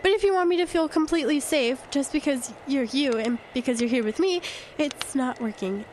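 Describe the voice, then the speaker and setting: An actress playing a frightened, doubting, defiant fiancée in an audio drama, with emphatic phrasing. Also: female voice, high voice, a little fast, darkish tone, a little hiss or hum, natural voice. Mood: defensive. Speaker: actor. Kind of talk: audio drama acting with inner-monologue narration.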